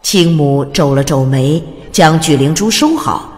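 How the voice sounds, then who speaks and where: A woman's voice: low-pitched, expressive, unhurried, a little mellow. A woman reading a fantasy audiobook as narrator, in a dramatic scripted storytelling style, with reverb on her voice.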